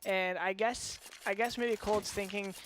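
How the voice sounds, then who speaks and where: A young man with a high, slightly nasal voice gives chatty, analytical color commentary on a shooter match. He thinks out loud and second-guesses his reads. Game gunfire sounds clearly behind him. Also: fairly even intonation, measured pace, a little hiss or hum. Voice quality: high-pitched, nasal when going low. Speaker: color commentator, former pro player.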